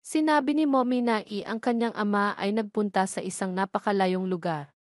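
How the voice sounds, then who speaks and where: A woman's voice: mid-range voice, normal speed, no echo, studio quality. A woman reading a scripted story as its narrator.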